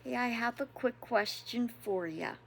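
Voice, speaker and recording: A woman in her sixties hosting a devotional channel, chatting casually and reflectively, unhurried and chuckling often. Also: fairly high pitch, creaky, a little hiss or hum, rough recording. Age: 60s. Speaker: devotional host.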